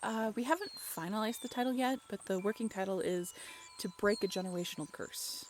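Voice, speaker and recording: A woman acting a bashful, affectionate young writer on a date in an intimate audio roleplay, halting and playfully teasing. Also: medium pitch, slight background noise, lightly acted. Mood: shyly pleased.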